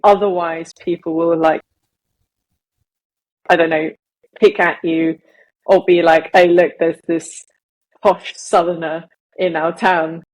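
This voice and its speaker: Slow-spoken low-voiced woman. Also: flat delivery, low-quality recording. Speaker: podcast co-host. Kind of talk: casual podcast chat.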